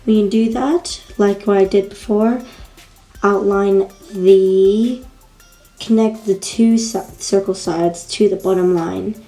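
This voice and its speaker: A woman with a croaky, fading voice talking viewers through a drawing tutorial, chatty and repeating her steps. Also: mid-range voice, steady tone, slow pace, warm tone, soft background music, poor audio. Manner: chatty, repeats instructions with fillers. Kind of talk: casual step-by-step drawing tutorial.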